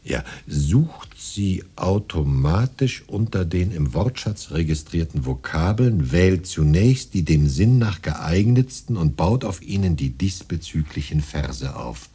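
An actor playing a modest, eager and fussy poet in a German radio drama, husky and musing, muttering to himself. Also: male voice, low-pitched, sing-song, unhurried, few pauses, mellow, exaggerated voice. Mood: explanatory. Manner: musing, hesitant, muttering as he reads.

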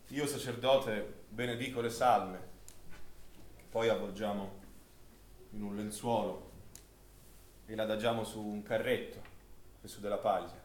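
An actor playing a solemn priest who recounts a wartime massacre in dramatic recitation. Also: male voice, on the low side, a little hiss or hum, close to an everyday voice. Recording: roomy hall, background crowd sound.

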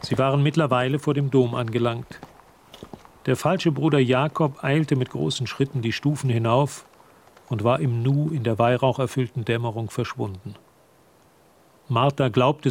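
A man's voice: medium pitch, lightly acted. A man reading scripted narration for a radio drama, telling the story as narrator. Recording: clear ambient noise behind voice.

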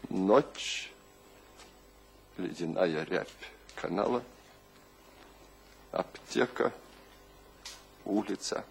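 An actor playing a despairing, brooding poet weary of life, speaking heavily in an anguished, sometimes hushed dramatic audio play delivery. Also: male voice, low-pitched, creaky, slight background noise, exaggerated voice. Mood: bleak resignation. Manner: slow, heavy, anguished delivery with hushed passages. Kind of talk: dramatic audio play monologue and verse recitation.

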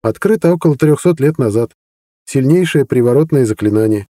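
A man's voice: medium pitch, lively intonation, fairly quick, mellow, dry recording, clean studio sound, voice pushed up, lightly acted. A man reading an audiobook voicing a sly, learned prince who teases ironically and lectures. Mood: lecturing.

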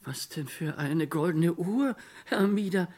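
An actress playing an agitated, exasperated character in a comic radio play, begging melodramatically. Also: female voice, lowish voice, animated, measured pace, a little mellow. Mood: pleading. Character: agitated, pleading, exasperated listener Bratfisch. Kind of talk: comic radio crime drama acting.

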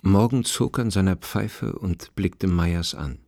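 An actor as the detached, observant narrator of a radio play, giving measured literary narration. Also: male voice, low voice, creaky, music in the background, full character voice.

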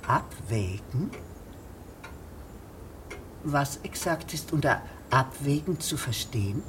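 A woman's voice: rumbling low, expressive, slow, full character voice. A snooty bossy magistrate lady, swoopy indignant voice, radio play, slight noise behind. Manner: pompous, haughty, officious. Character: imperious, indignant female magistrate eager for an execution. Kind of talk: radio drama acting.

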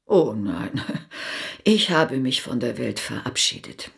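An actress playing a lively, haughty lady guest who complains in a pointed way in a radio play. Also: rumbling low, takes it slowly, vocal fry, husky, full character voice. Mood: wry.

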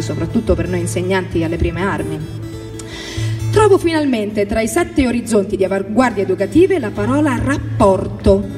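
A woman's voice: fairly high pitch, darkish tone. Woman reading in long, distant reverb. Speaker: teacher reading her own text. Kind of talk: literary first-person reading on a radio show. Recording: distant, very reverberant, music underneath. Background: instrumental music, guitar.

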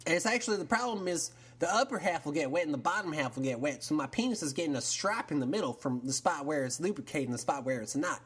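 A man's voice: on the high side, expressive, quick pace, few pauses, fairly bright tone, slightly rough. A man doing a rambling Texan caller. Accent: Texan, put on. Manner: rambling, full of fillers, drawling. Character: dim-witted Texan country man caller. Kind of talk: improvised call-in sketch.

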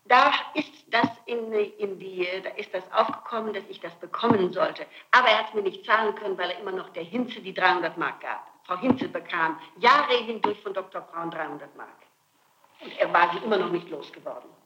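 A woman's voice: medium pitch, normal speed, bright, forceful tone. A middle-aged woman being questioned on an old interrogation tape, answering defensively and insistently, breaking off and repeating her phrases. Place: indoor room, archival interrogation tape. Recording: muffled, noisy 1960s tape in an echoey room.

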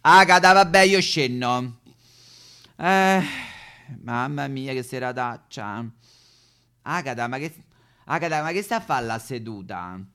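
A male actor puts on a brassy, self-admiring woman of the Roman streets for a satirical stage show. The voice is bright and forceful, with a thick Roman accent. The delivery is brash, chatty and full of loud calls. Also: mid-range voice, lively intonation, unhurried, pauses often, clear, resonant tone. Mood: brassy, vain. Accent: Roman Italian. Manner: brash, chattering, calling out loudly. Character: brassy, vain Roman street prostitute, female. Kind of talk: theatrical comic monologue.

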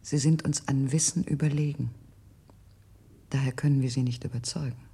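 An embittered enslaved woman plots against her masters in a science fiction radio play. Her voice is extremely husky and breathy, dark and creaky. She declaims in a measured, conspiratorial half-whisper. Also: a bass voice, steady tone, heavily acted. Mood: coldly resolute. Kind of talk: 1970s German radio drama acting.

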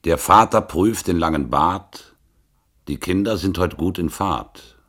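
An actor playing a declamatory male announcer reciting measured rhymes in a 1960s avant-garde radio play. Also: low-pitched, a bit bright. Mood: solemn, declamatory.

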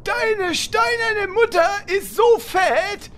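A man playing a cheeky, brash little hero who mocks loudly in a fantasy parody audio drama. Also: extremely high pitch, expressive, takes it slowly, full character voice. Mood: taunting. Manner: loud, mocking taunts.

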